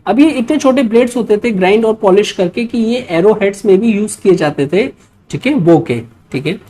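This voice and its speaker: A woman's voice: medium pitch, animated, fairly quick, dark tone. A woman with an Indian accent giving an instructional history lecture in mixed Hindi and English, reading out quiz questions and often adding check-in tags. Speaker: history teacher for exam preparation.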